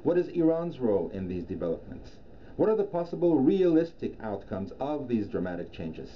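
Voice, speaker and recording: A middle-aged man from Iran, a native Persian speaker, giving a formal, measured scripted presentation as a professional lecturer. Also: medium pitch, big pitch swings. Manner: formal, measured, deliberate phrasing. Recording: dull lo-fi sound, clear background noise.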